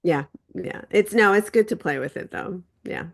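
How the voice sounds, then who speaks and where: A woman's voice: medium pitch, moderate pace, creaky, fairly bright tone. A woman chatting casually as a participant in an online meeting, speaking in a modest, self-deprecating way. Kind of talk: casual chat. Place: online video call.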